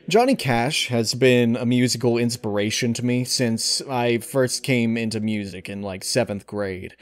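A man's voice: medium pitch, darkish tone. A man answering interview questions casually, in a rambling, hesitant and enthusiastic way. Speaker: channel host, amateur voice actor being interviewed. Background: music behind the voice.

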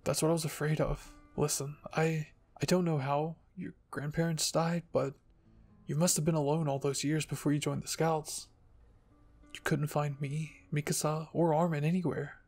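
A man voicing an earnest, protective teenage boy soldier in a story reading, with a halting, sincere manner and a husky voice. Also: on the high side, level tone, a little mellow, soft background music. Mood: gentle concern.

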